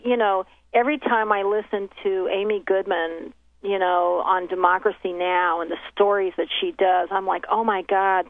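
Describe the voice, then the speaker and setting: A woman's voice: mid-range voice, no echo. A woman who grew up in Haiti with Haitian Creole as her first language, speaking as an interview guest over the phone. She rambles through thoughts with many restarts and fillers, her voice thin and tinny, dry with no room around it. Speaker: guest journalist. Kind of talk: casual interview talk.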